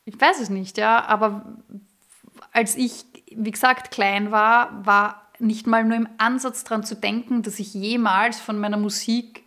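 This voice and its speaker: A woman's voice: fairly high pitch, fairly even intonation, a little slow, fairly bright tone. A woman in her thirties with an Austrian accent, a singer-songwriter talking as a podcast guest, candid with fillers and restarts. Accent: Austrian German. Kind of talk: casual podcast interview.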